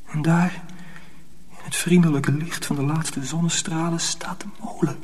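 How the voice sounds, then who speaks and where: A man's voice: on the high side, steady tone, unhurried. An actor playing a weary, bewildered young apprentice who tells his own story in intense, urgent phrases in a radio drama. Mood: desperate, weary. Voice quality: husky, breathy, half-whispered. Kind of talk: first-person radio drama narration.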